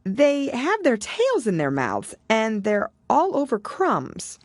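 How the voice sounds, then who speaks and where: A woman's voice: a little high, wildly swooping pitch, slow pace, no echo, clean studio sound, voice pushed up, exaggerated voice. A woman voicing a polite, curious, slightly timid young girl in a children's storybook, with a wondering tone. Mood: thoughtful. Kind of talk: children's storybook character reading.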